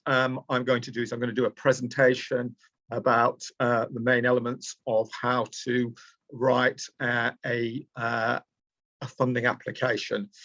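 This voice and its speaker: A middle-aged man with a Lancashire accent hosts an online training webinar, pausing often with ums and uhs as he explains things in a plain, mid-pitched voice. The sound is dry and dull, like lo-fi video call audio from a webcam mic. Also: a bit bright, no room sound. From Preston, Lancashire, England. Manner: hesitant, with frequent ums and uhs. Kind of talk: live online training presentation. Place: indoors, small room.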